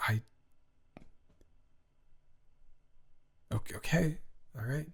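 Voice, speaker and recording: Man acting as halting, indignant young best friend. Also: fairly high pitch, husky. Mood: apologetic, earnest. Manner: halting, stammering, informal, repeats himself. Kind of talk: improvised romantic audio roleplay, casual conversational.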